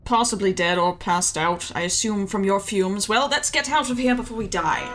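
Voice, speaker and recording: A woman's voice: mid-range voice, normal speed, a bit bright, faint music behind, natural voice. A woman playing a wry, exasperated companion in an improvised pirate comedy fan dub.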